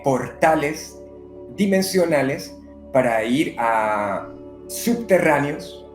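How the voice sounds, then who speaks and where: A man from Colombia, a medium and psychotherapist, speaking unhurriedly with many pauses in an interview. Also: on the high side, echoey room. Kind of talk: conversational interview. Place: living in Brazil.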